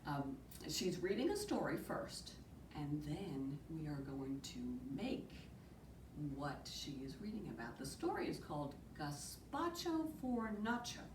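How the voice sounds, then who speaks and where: A woman in her forties, a youth librarian, welcoming children to a storytime video in a bright, upbeat way. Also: low voice, sing-song, unhurried. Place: small room indoors on a sofa. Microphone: far camera. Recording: roomy, distant, noticeable hiss.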